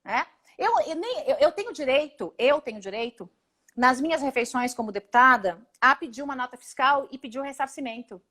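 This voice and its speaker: A woman in her forties with a Brazilian Portuguese accent, a congresswoman giving a live video interview in an emphatic, indignant way, often speaking in rapid lists. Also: high voice, expressive, sharp tone. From Paraná, Brazil. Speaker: congresswoman guest. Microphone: video call.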